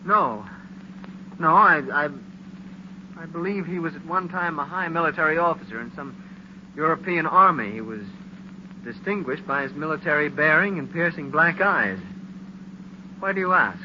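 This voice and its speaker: An actor playing an earnest, formal gentleman inventor in an old radio thriller. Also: a little high, lively intonation, normal speed, long pauses. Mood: earnest, explanatory. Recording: noisy, muffled old radio recording.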